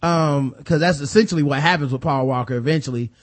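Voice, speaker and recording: A man's voice: a little high, fast talker. An American man hosting a casual, profane film discussion, animatedly retelling scenes and acting out mock dialogue. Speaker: movie review podcast host.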